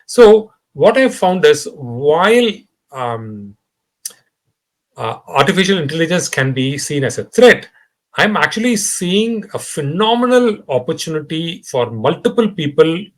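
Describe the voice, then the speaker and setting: A man with an Indian English accent, speaking as a podcast guest in a conversational interview, animated and full of fillers and restarts. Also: a little high, unhurried.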